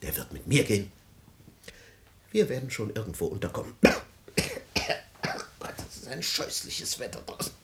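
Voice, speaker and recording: An actor playing a petulant, self-pitying and helpless husband in a radio play, whining theatrically. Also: male voice, lowish voice, very animated, measured pace, pauses often, slight background noise. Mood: aggrieved. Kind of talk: German radio play acting.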